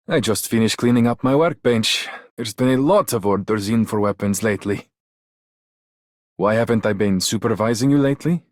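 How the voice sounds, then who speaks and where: A man's voice: lowish voice, dry recording, clean studio sound, natural voice. An actor playing a plain-spoken, encouraging weapons craftsman and mentor in a video game. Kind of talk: video game character voice lines.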